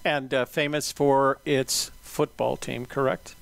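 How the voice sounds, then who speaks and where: A man hosting an interview in a relaxed, unhurried way, often pausing with "uh". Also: mid-range voice, vocal fry. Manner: relaxed, many "uh" fillers, measured pace. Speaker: interviewer and podcast host. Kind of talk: casual interview.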